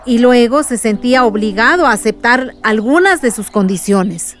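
Patient storyteller, slightly high voice. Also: female voice, lively intonation, moderate pace, fairly bright tone. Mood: explaining. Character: patient woman storyteller explaining village history. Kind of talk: radio drama storytelling.

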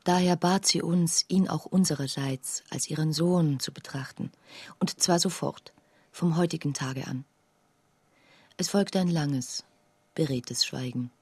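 An actress playing a woman who soberly relays her friend's story in a radio play, in a measured storytelling manner. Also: low-pitched, darkish tone, husky, no room sound, exaggerated voice. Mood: sober, insistent. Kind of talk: literary radio play narration.

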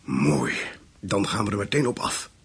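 An actor playing a cold, calculating hitman who can turn smoothly polite, in a Dutch radio play. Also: fairly low pitch, animated, normal speed, exaggerated voice. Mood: cold, businesslike. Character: cold, calculating male hitman posing as pious convert. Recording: radio play with faint background noise.

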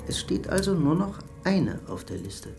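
Creaky-voiced, coldly self-righteous killer. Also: male voice, fairly high pitch, sing-song, music in the background. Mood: insistent. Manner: measured, insistent, self-justifying. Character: self-righteous serial killer reading his letter, insistent and cold. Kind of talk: radio drama monologue.

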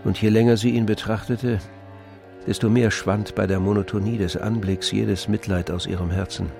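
A man narrating a literary radio drama in a measured, composed storytelling manner. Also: fairly low pitch, steady tone, gravelly, music in the background. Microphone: close, dry studio microphone.

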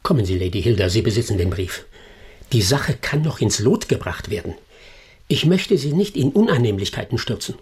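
An actor playing a shrewd, composed gentleman detective in a radio drama, speaking formally and with insistent authority. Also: on the low side, sing-song, normal speed, breathy edge, exaggerated voice. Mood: firm persuasion. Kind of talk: German radio drama acting.

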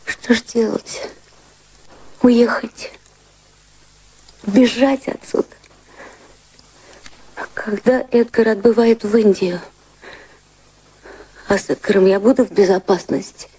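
An actress playing an anguished, proud high-society lady in a radio drama, with a dramatic, troubled manner. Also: steady tone, slow, long pauses, warm tone, husky, poor audio.

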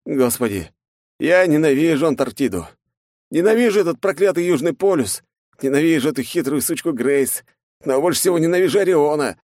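A crude, irritable young male hero, read by the man narrating, cursing and snapping, his voice jumping around in pitch. it sounds close and dry, with no room sound. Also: animated, moderate pace, no room sound, natural voice. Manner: swearing, gruff, in pain. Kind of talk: audiobook character voice.

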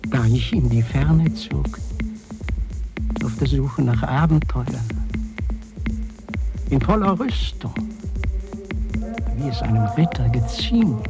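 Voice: mid-range voice, lively intonation, slow pace, pauses often. An actor playing a solemn, mysterious knight of old, reciting in an archaic, declaiming way in a radio drama. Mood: solemn, storytelling. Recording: electronic music humming behind.

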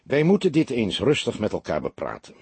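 A man voicing a calm, shrewd veteran police detective in an audiobook, measured and commanding. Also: medium pitch, creaky, slightly rough, no room sound, full character voice. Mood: calm, courteous.

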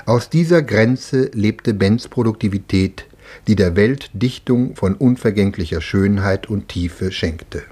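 A man's voice: lowish voice, measured pace, a little mellow, breathy edge. A male radio announcer giving a solemn, formal memorial introduction. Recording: archive radio broadcast.

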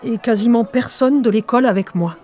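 A woman's voice: a little high, a little fast, a little mellow, a faint crowd, natural voice. A woman playing an anxious, wry narrator who relives her schoolgirl days in a scripted audio drama, in short intimate phrases. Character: Rosette, anxious wry woman reliving being an eleven-year-old schoolgirl. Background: music.

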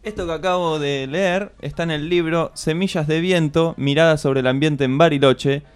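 A man co-hosting a radio show, chatting in a lively way and reading aloud. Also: a little high, moderate pace, clean tone, faint music behind. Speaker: radio co-host. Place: radio studio.

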